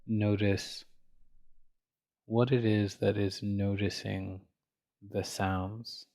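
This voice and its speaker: A man leading a guided meditation as a teacher, gently and unhurriedly, leaving frequent pauses between phrases. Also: on the low side, level tone, gravelly.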